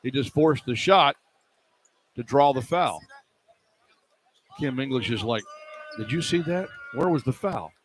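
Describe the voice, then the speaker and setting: A man's voice: mid-range voice, expressive, a little slow, pauses often, breathy edge. A man calling live basketball play-by-play for radio in clipped, running phrases from an indoor arena. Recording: live arena broadcast with background noise. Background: faint arena noise.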